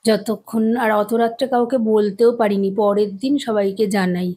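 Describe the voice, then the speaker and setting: A woman casually recounting a personal experience in a hesitant, halting way. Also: mid-range voice, steady tone, warm tone. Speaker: co-narrator.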